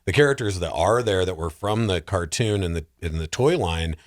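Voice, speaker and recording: A man on a podcast panel chatting casually about movies in an animated, jokey way. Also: low voice, moderate pace.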